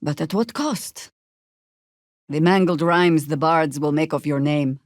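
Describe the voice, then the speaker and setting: An actress playing a battle-hardened older druid warrior in a video game, with a dry, sardonic, commanding manner. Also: female voice, sits low, measured pace, dry recording. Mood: grave, sardonic. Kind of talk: video game character voice acting.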